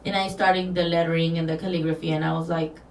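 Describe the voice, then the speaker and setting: A woman's voice: low voice, flat delivery, quick pace. A woman from Mexico with a Mexican accent, a guest telling her story in a casual interview, earnest and rambling with restarts. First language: Spanish. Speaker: guest, designer. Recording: roomy, distant, faint background noise.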